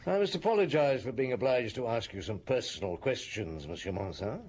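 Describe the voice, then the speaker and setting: An actor playing a polite, persistent French police chief inspector in an audio drama, questioning in a measured way. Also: male voice, medium pitch, lively intonation, darkish tone, breathy edge. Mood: polite, probing.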